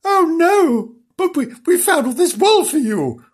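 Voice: very high voice, big pitch swings, a little slow, pitched above the performer's own voice, heavily acted. A storyteller voicing Frank, a fussy male nursery animal who is eager to please and speaks in a fretful way. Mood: pleading.

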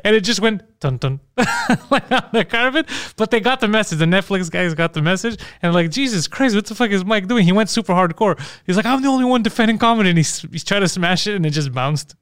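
A man from Montreal tells stories in a loose podcast chat, animated and laughing, with plenty of swearing. His voice sits a little high and comes through smooth and resonant, recorded close and dry. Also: fairly quick, hardly any pauses, dry recording. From Montreal, Canada. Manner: animated storyteller, swears freely, laughs often. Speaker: comedian guest.

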